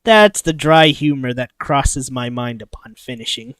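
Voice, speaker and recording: An expressive streamer reading a visual novel aloud and breaking off into chatty, rambling asides. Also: medium pitch, a little slow, clear, resonant tone, dry recording. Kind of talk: live playthrough, narration with character voices and asides.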